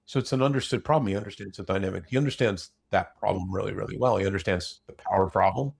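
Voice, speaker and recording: A man's voice: low voice, fast, creaky, husky. A man in a casual unscripted discussion, opinionated and blunt, rambling through broken-off sentences.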